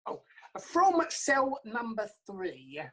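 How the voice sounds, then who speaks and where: A woman playing a prim, deferential older male court clerk, husky and hesitant, in a staged reading of a farce. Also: fairly high pitch, big pitch swings, extremely slow, a bit bright, slight background noise, exaggerated voice. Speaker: actor.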